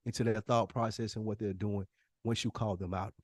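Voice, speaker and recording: A man's voice: on the low side, steady tone, fairly quick, darkish tone, no room sound, studio quality. An American man co-hosting a podcast, giving conversational advice in an emphatic way and repeating phrases for weight. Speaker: podcast co-host.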